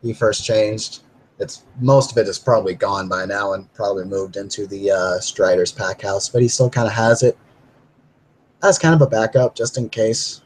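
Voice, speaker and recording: A man's voice: fairly low pitch, normal speed, a little hiss or hum, rough recording. A man casually narrating his character in a tabletop roleplay, rambling with many fillers. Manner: rambling, filler-heavy, laughs while talking.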